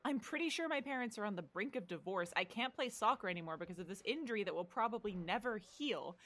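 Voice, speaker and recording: A guarded teenage girl athlete, played by a woman in a naturalistic audio drama. Her pitch sits in the middle for a female voice. She speaks dryly and defensively, understated but stressed underneath. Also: lively intonation, quick pace, fairly bright tone. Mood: tense, defensive.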